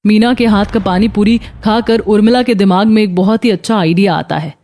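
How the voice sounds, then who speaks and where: A woman's voice: mid-range voice, steady tone, fairly quick, dark tone. A woman narrating a scripted children's moral story.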